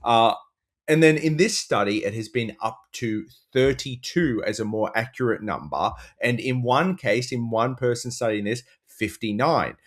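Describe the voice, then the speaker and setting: A man's voice: mid-range voice, expressive, moderate pace. A man on a comedy podcast panel, riffing on the news in a mock-earnest manner.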